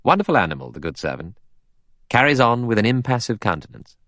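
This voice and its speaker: Guy doing a cool cocky adventurer type, light n wry, bit higher voice, audiobook. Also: very animated, fast, a bit bright, no room sound, studio quality. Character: cool, wry, self-assured male adventurer.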